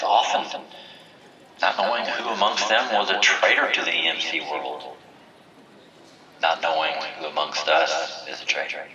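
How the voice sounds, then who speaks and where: An actor playing a stern, solemn village leader giving measured, commanding oratory in an audio drama. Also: male voice, low-pitched, sharp tone, reverberant, far from the mic, dull audio, slight background noise. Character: stern, solemn village leader presiding over a memorial and judgment. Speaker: community leader. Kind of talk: formal speech in an audio drama.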